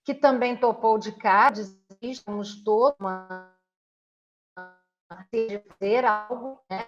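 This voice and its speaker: A Brazilian woman recounting things as an interviewer in a conversational, deliberate way, with frequent checking tags. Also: mid-range voice, highs cut off.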